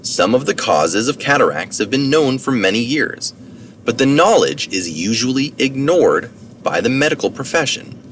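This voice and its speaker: A man's voice: mid-range voice, big pitch swings, moderate pace, fairly bright tone. A man reads an article aloud in an informal way, carefully sounding out technical terms. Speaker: reader of articles. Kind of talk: reading an article aloud with brief asides. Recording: distant mic with faint background noise.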